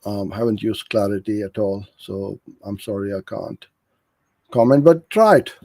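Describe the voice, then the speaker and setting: A middle-aged man from Karachi speaks in a low, soft-edged, relaxed voice. He works through questions like an informal coach, giving practical, frank explanations. The sound is dry and close, with very little room on it. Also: measured pace, dry recording. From Karachi, Pakistan. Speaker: digital marketing agency owner hosting a live Q&A. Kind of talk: informal live Q&A coaching, reading out questions and answering.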